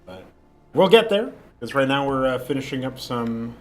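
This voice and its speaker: A man's voice: mid-range voice, sing-song, normal speed. A young man chatting casually on a livestream, rambling with fillers and restarts, with a lingering cough. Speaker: streamer.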